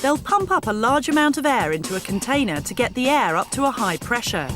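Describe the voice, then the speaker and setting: A woman's voice: on the high side, big pitch swings, a little fast. A woman giving scripted narration for a competition show in an upbeat, punchy way. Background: music.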